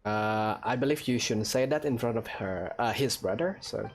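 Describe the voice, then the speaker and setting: A man's voice: medium pitch, moderate pace, slight background noise. A young man giving casual let's play commentary, hesitant and playfully sarcastic. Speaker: gaming YouTuber. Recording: game music behind the voice.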